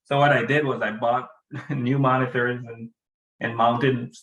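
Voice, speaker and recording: A man's voice: mid-range voice, little pitch movement, measured pace, fairly bright tone. A man, a student in an online class, chatting in a rambling, easygoing way over a video call. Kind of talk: casual chat. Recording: roomy echo on a video call.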